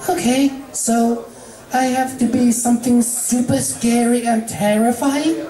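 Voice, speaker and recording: Reader doing a shy lil girl pegasus for a story, really high n hesitant, echoey room. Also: steady tone, unhurried, mellow, faint music behind, natural voice. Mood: earnest. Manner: hesitant, nervous. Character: timid, soft-spoken young female pegasus pony.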